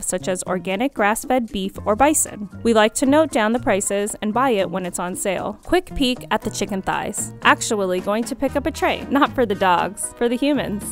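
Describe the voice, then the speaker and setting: A woman giving scripted voice-over narration for her vlog, in a clear, informative, upbeat manner. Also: mid-range voice. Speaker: vlog host. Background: faint background music.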